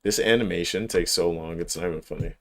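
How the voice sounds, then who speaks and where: Low-voiced, slangy male game streamer. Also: fast. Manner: slangy, profane, informal; enthusiastic, emphatic, repeats himself. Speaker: livestreamer. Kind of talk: casual chat while gaming.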